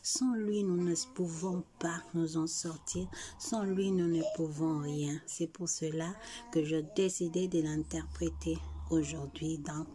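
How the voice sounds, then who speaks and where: A woman speaks slowly and softly in a warm, mellow voice, earnest and devout as she addresses her viewers. Her pitch sits on the lower side for a woman. A faint hiss of background noise lies under the recording. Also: steady tone. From Congo. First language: Tshiluba. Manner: soft, earnest, devout, often hushed. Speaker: vlogger. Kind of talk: personal chat before singing.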